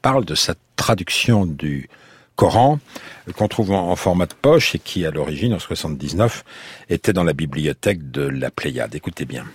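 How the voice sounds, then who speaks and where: A middle-aged man hosting a radio history program, asking questions in a deliberate, hesitant way. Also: sits low, expressive, husky, no echo. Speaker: radio host. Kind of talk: radio interview with archives.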